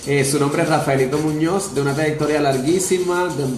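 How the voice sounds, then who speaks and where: Puerto Rican radio host, roomy echo. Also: male voice, on the high side, fairly even intonation, a little hiss or hum. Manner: formal announcer phrasing with measured pauses. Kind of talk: radio interview. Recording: roomy echo with music behind.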